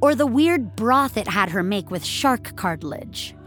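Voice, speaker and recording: A woman's voice: medium pitch, expressive, a little slow, faint music behind. A woman narrator reading scripted audio fiction as a storyteller.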